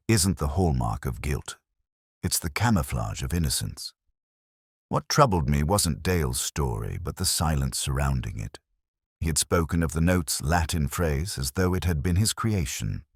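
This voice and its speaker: A man with an extremely low, husky voice narrates a detective mystery in the first person. His delivery is measured and reflective, with literary phrasing and a lively rise and fall in pitch. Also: no room sound, clean studio sound.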